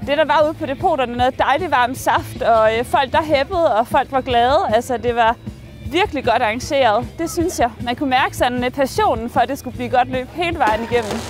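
A woman's voice: high voice, fast, soft background music. A woman in her forties talking enthusiastically about a race, with hesitant fillers. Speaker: race participant. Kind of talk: casual interview. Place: outdoors at a race event.

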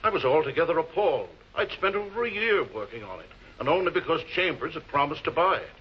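A man's voice: fairly high pitch, lively intonation, quick pace, close to an everyday voice. Male novelist suspect for a male actor, vintage TV mystery. Articulate, guarded, defensive; muffled audio with faint hiss. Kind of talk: 1940s television crime drama acting. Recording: muffled, noisy old film soundtrack.

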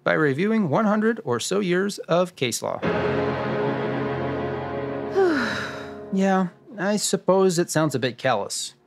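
A man playing a dry, world-weary tax expert in a noir radio drama, explaining deliberately. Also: high voice, expressive, gravelly, over music. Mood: wry. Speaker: host.

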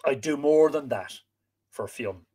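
A man voicing a gruff, hot-tempered master in a storybook, commanding and blustering, grudgingly dutiful. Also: mid-range voice, measured pace, mellow. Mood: grudging, exasperated. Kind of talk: animated storybook reading.

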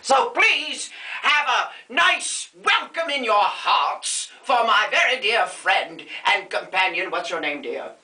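Someone playing a bright, rambling old movie diva. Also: mid-range voice, lively intonation, slow pace, roomy sound, full character voice. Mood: campy. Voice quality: grand, rambling name-dropping diva manner. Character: vain aging female film star, flighty and bawdy. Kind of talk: comedy sketch, makeup tutorial parody.

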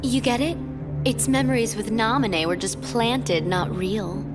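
A woman's voice: on the high side, over loud music, close to an everyday voice. An actress playing a cruel, mocking young woman villain in a video game, taunting and gloating. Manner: taunting, gloating, slow and teasing. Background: loud game score.

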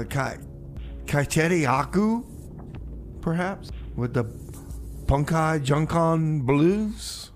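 A man's voice: mid-range voice, a little mellow. A man hosting a community radio music show, back-announcing songs in a rambling, wry way. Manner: rambling, self-interrupting, wry. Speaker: radio DJ and host. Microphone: studio microphone.

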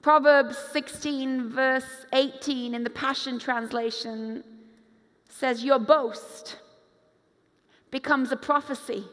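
Woman, pastor, high voice, echoing hall, lively sermon. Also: slow pace. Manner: animated preacher, acts out quoted voices, moves around the stage. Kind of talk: conference sermon. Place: large dark stage with hall reverb. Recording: long hall reverb.

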